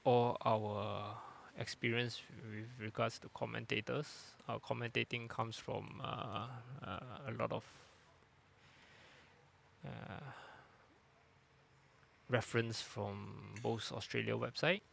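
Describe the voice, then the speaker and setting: A man giving calm, unhurried live commentary on a lawn bowls match. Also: on the low side, gravelly, slight background noise. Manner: calm, unhurried, plain-spoken.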